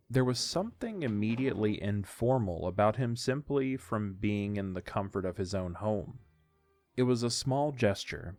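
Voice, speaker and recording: A man reading scripted story narration for an audio drama. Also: fairly low pitch.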